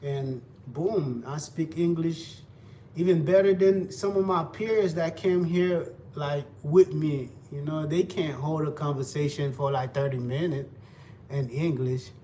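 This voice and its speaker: A man's voice: a little high, a little slow, warm tone. A young man with a Haitian Creole accent, chatting loosely with viewers while he cooks, repeating himself often. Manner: loose, repetitive, talks to viewers while cooking. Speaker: cooking vlogger. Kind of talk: casual live cooking chat. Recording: distant mic with faint background noise.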